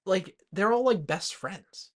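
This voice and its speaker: A man co-hosting a movie podcast, talking in a loose, informal way with lots of halting filler words as he retells things. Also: high-pitched. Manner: informal, heavy use of "like", halting, animated retelling. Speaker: podcast co-host. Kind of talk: rowdy casual movie chat.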